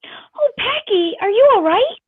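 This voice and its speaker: A woman's voice: extremely high pitch, expressive, measured pace, no echo. A woman playing a sweet, fretful cartoon wife in a live table read, through dull band-limited sound. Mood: concerned.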